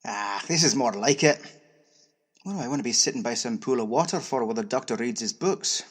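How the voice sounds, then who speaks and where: A man playing a restless, plain-spoken young Highlander with a Scottish accent in an audio drama. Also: expressive, a little fast, slightly rough. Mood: musing. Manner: colloquial, grumbling. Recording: audible background noise.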